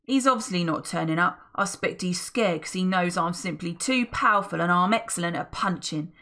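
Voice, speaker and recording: Storybook villain, old man, coarse and boastful, gravelly, read by a woman. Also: on the low side, brisk, bright, forceful tone. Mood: scheming. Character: gruff nasty old male villain.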